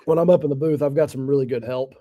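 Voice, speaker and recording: A male guest with a warm, relaxed voice at an average pitch. He chats casually in an interview, easygoing and modest. The recording is dry, with no room sound. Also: quick pace, no room sound. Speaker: guest, stadium PA announcer.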